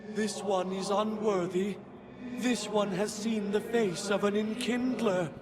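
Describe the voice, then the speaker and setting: A man's voice: high-pitched, fairly even intonation, takes it slowly, over music. A man voicing a humble, reverent alien in a video game, with a formal, deferential manner. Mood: humble, awed. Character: humble, reverent jellyfish-like alien speaking in third person.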